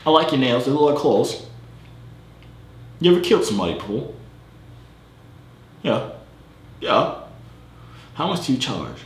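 A young man hosting a comedy video, sliding between a loud, high-pitched mock British accent and a low, casual drawl. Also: very animated, measured pace, pauses often, echoey room, slight background noise. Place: bedroom studio, indoors.

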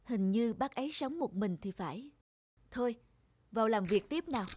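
A woman's voice: fairly high pitch, animated, moderate pace, darkish tone, close to an everyday voice. A woman voicing an earnest, polite young male architecture student in a cartoon moral tale, with neat enunciation. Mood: pensive. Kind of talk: animated moral tale dubbing.

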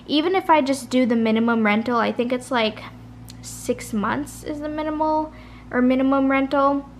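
A tired woman vlogging late at night from her kitchen table, talking softly with a slight rasp and rambling in a chatty way. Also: sits high, normal speed, a little hiss or hum. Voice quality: soft, a little raspy. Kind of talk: casual chat. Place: kitchen at home. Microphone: camera at a kitchen table.

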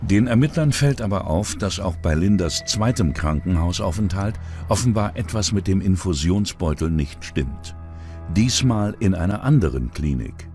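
A man's voice: fairly low pitch, a little mellow. A man narrating a scripted true-crime documentary in a dramatic, suspenseful way.

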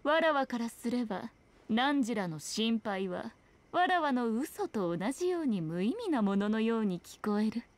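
A woman's voice: on the high side, sing-song, unhurried. A woman playing a sly, teasing, ancient fox-spirit shrine maiden in a video game, speaking in an archaic, haughty manner with playful airs. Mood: aloof. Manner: archaic haughty Japanese speech, playful mock sympathy. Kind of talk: scripted video game dialogue.

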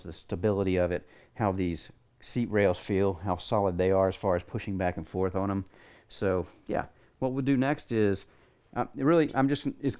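Middle-aged guy with a lowish voice, chatting loosely through a build in his garage, lots of uh and you know. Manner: relaxed, rambling, thinks aloud with fillers. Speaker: product reviewer. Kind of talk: casual hands-on review. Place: home garage workshop, indoors.

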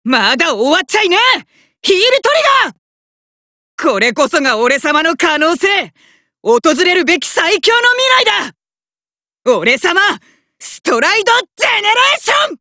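Arrogant hot-blooded young male card fighter, animated delivery, dry close recording, emphatic game battle cries. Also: extremely high pitch, moderate pace, no room sound, exaggerated voice. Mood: arrogant, determined. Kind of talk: shouted anime game battle voice lines.

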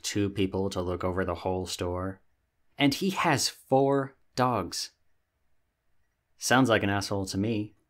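A sassy young catboy played by a man with a fairly low voice. He is lazy and vain, talking casually and huffily, like a roommate in an ASMR roleplay. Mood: sleepy, nosy. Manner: sassy, casual, theatrically huffy.

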